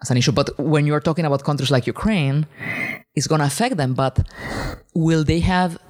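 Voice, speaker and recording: A man's voice: on the high side, fairly quick, a little mellow. Man explaining as a guest expert. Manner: explanatory, agreeable, some fillers. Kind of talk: casual academic interview.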